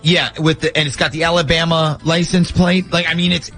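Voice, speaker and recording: A man with a slightly high voice works as a morning radio host. He talks in the style of a call-in prank segment, with faint music underneath. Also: level tone, brisk, a bit bright.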